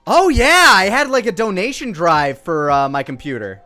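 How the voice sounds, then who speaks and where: A man's voice: sits high, big pitch swings, normal speed, bright, forceful tone, soft background music. A man giving casual, rambling, self-deprecating commentary on his own old videos.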